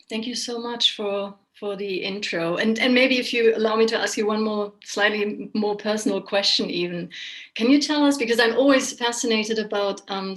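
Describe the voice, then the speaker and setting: A woman hosting an online panel, introducing and questioning guests in a halting, conversational, enthusiastic way. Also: mid-range voice, a bit bright, low-quality recording. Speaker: host, moderator of an online panel. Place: online video call.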